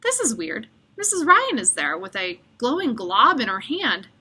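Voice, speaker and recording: A woman reading a children's picture book aloud as an expressive storyteller. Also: a little high, moderate pace, slightly rough.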